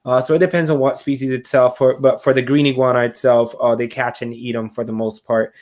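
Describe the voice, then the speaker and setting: A man's voice: medium pitch, flat delivery, brisk, a little mellow. A man from Puerto Rico chatting casually as a podcast guest, with loose, informal, hesitant phrasing. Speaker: podcast guest, reptile keeper. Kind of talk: casual chat.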